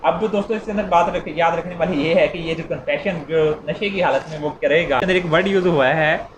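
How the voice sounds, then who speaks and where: A man's voice: a little high, fairly quick. A man gives an informal explanatory lecture, repeating points conversationally and illustrating them with everyday examples. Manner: repetitive, conversational teaching with acted-out everyday examples. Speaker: law lecturer. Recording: echoey room with clear background noise.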